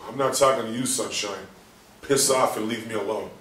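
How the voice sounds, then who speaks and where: A bitter, despairing male patient trapped in his own body, acted by a man at a play reading. His low voice broods and questions with coarse, sardonic words. It is caught from a distance in a roomy space. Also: normal speed, fairly bright tone, natural voice. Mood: scornful. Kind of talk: staged play reading, inner monologue. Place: live stage reading in a theatre room. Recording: roomy stage, distant mic, faint noise.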